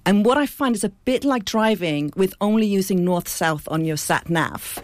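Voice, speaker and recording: A woman's voice: mid-range voice, animated, normal speed, darkish tone. A woman from Iceland, a clinic founder speaking as a radio studio guest, thoughtful and earnest, explaining her ideas through everyday analogies. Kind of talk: studio interview.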